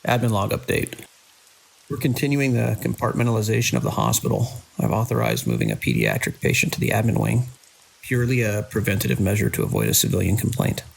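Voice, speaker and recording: An actor playing a controlling male hospital director in an audio drama, brisk and dismissively reassuring as a crisis grows. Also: fairly low pitch, very animated, gravelly, husky, full character voice, rough recording. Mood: composed, official.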